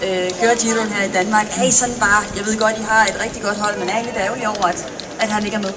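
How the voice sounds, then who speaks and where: A woman's voice: medium pitch, steady tone, background noise. A woman with a Danish accent hosting a live stage interview with sports guests, heard over a distant echoey PA among a crowd. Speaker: event presenter interviewing riders. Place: public team presentation event.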